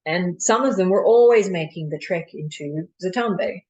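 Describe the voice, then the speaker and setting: A woman performing a stage monologue as a disillusioned colonial mission doctor, bitterly candid and deliberate, with dramatic pauses. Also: on the low side, warm tone, muffled recording, lightly acted. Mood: reflective.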